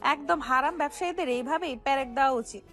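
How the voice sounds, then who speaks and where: A woman's voice: high-pitched, quick pace, a bit bright, soft background music. A woman playing a brash, defiant young pickpocket gang boss in an audio romance story, sassy, slangy and argumentative. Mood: impressed, scornful. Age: young adult.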